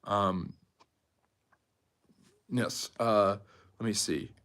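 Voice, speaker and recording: A man's voice: lowish voice, extremely slow, a bit bright, clear, resonant tone. A man hosting a live stream, talking casually and informally like a history teacher taking questions from viewers. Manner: chatty, jumps between topics, reads out and answers viewer comments.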